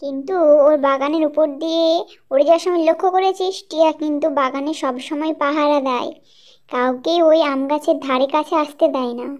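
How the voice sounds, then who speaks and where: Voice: high-pitched, steady tone, brisk, lightly acted. A performer with a childlike voice playing a small, earnest bird in a children's cartoon story. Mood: worried. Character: small cartoon birds, cautious, earnest, easily indignant.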